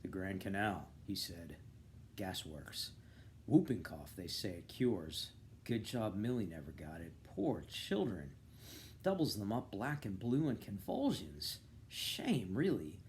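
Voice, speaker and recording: Man reading literary fiction, animated delivery. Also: on the low side, takes it slowly, a little hiss or hum. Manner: animated, acts out dialogue. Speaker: volunteer reader. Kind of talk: expressive literary audiobook-style reading.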